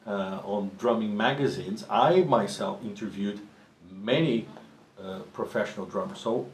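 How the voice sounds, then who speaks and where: A man whose first language is Portuguese, talking about drumming as a teacher in a relaxed, conversational way with frequent restarts. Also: fairly low pitch, unhurried. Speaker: drum teacher. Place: drum studio. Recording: echoey room with faint ambient noise.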